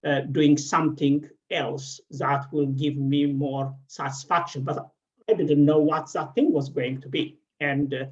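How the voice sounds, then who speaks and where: A middle-aged man with an Ethiopian accent, a guest speaker at an online event, telling his personal story haltingly with frequent pauses. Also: a little high, fairly even intonation, darkish tone, dull audio. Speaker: regional director, guest speaker.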